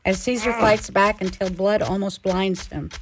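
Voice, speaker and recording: There is clear background noise behind this woman narrator. She reads scripted stage directions for a radio play in a mid-pitched voice. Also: steady tone, moderate pace.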